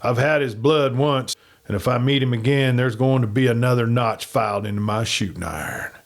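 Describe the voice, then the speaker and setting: A man voicing a menacing outlaw gang chief in a western audiobook, loud and threatening, with a drawl. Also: medium pitch, normal speed, close to an everyday voice.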